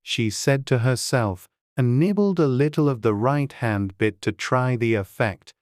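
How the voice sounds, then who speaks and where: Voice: medium pitch, no room sound. An audiobook narrator reads a classic storybook from a script. The voice sits in a middle register, and the recording is dry with no room sound.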